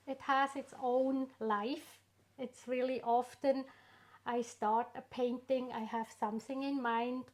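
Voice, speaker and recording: A middle-aged woman from Switzerland with a Swiss accent, an artist being interviewed about her work, speaking unhurriedly with pauses and fillers. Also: a little high. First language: not English. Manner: unhurried, warm storytelling with frequent pauses and fillers. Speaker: artist guest. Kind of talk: casual interview.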